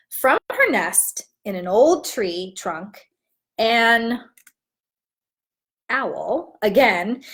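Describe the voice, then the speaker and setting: A woman teaching in an instructive, expressive way, as if demonstrating how to read a storybook aloud. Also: a little high. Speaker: teacher trainer.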